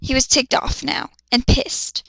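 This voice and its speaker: A woman's voice: a little slow, fairly bright tone, no echo, poor audio. A woman reading fan fiction dramatically and commenting on it in a blunt, exasperated, sarcastic manner. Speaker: reader and commentator.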